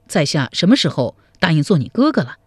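A woman storyteller lowering her voice into a steady young hero who speaks firmly and without fuss. He is measured and resolute, in a close, dry radio-story sound. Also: slightly rough, no room sound, full character voice. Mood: protesting. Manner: firm, measured and low.

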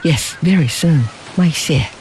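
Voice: mid-range voice, unhurried, slight background noise, close to an everyday voice, rough recording. A reader voicing a devoted, sorrowful young man who murmurs tenderly in a storybook. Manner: murmuring, hushed.

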